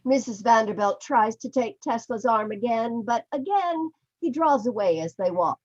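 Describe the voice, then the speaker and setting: Woman narrator, slightly high lively voice, scripted stage directions, dull lo-fi call sound. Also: normal speed. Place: indoors, remote online reading.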